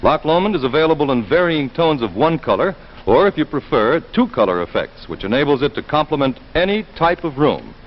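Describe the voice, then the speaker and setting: A man's voice: fairly high pitch, big pitch swings, fairly quick. A man announcing a scripted 1950s sponsor commercial in a folksy, conversational sales style. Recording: muffled 1950s broadcast recording.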